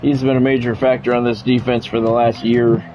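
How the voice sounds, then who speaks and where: A man doing measured, broadcast-style football play-by-play over a video game, in clipped phrases with casual gamer asides. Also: medium pitch, little pitch movement, mellow, a little hiss or hum, rough recording.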